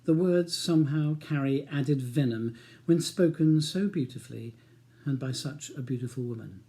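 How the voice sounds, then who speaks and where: A man's voice: mid-range voice, moderate pace, warm tone. A man with a British accent reading his own novel as a scripted audiobook narration.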